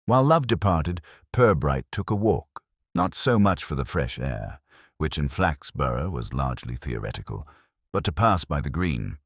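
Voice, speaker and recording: A man reading scripted mystery fiction as an audiobook narrator, with a measured, literary and dryly wry delivery. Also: extremely low pitch, big pitch swings, dry recording, studio quality.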